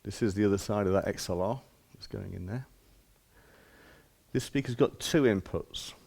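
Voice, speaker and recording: Low-pitched man explaining casually. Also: moderate pace, gravelly, a little mellow. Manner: casual, plain-spoken step-by-step explaining. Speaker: shop demonstrator presenting sound equipment. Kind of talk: informal hands-on product demonstration.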